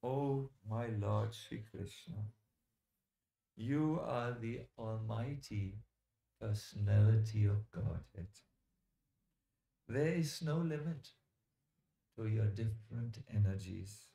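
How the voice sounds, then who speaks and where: A man giving a sermon as a religious teacher, telling scripture stories deliberately, with dramatic pauses and direct appeals to his listeners. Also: on the low side, creaky, dark tone. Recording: echoey lecture hall sound.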